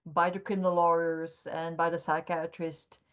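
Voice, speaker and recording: An older woman from Belgium, a criminology professor, explaining things in a measured way with frequent restarts in a podcast interview. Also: on the low side, level tone, clear, resonant tone. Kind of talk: academic interview answers. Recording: dull lo-fi audio.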